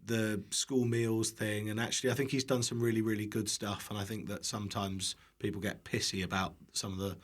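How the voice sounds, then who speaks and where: A man's voice: on the low side, level tone, fairly quick, few pauses. A British man speaking as a podcast guest in casual comedic chat, joking and sweary in an irreverent way. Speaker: podcast guest, online cook.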